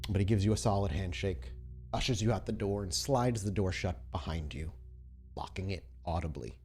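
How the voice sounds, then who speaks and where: Deep-voiced male game master narrating. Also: moderate pace, darkish tone, slightly rough. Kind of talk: atmospheric tabletop game narration.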